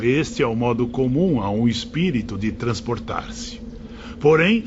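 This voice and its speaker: A man's voice: mid-range voice, natural voice. A man with a Brazilian accent playing a fatherly spirit mentor who instructs formally in an audio drama. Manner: formal, paternal. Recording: noisy, dull lo-fi audio.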